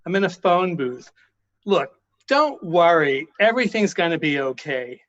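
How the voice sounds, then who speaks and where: A man's voice: on the high side, very animated, a little slow, a bit bright, no room sound. A man playing a casual, businesslike hired killer in a radio play, offhand and reassuring, on a dull recording. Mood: calm, businesslike. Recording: dull, lo-fi sound.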